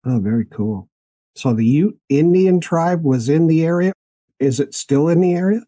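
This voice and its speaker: A male podcast host with a husky, mellow voice, chatting with his guests in a folksy way. He sounds curious and conversational as he asks his questions. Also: fairly high pitch, animated, moderate pace. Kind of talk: conversational interview.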